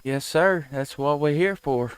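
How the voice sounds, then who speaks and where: Unhurried man on ham radio. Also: a little high, very noisy. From United States. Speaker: amateur radio operator and live stream host. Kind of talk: casual ham radio chat and calling.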